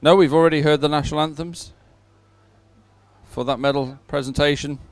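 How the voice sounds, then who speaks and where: Clear-voiced man commentating live sport. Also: fairly high pitch, steady tone, moderate pace.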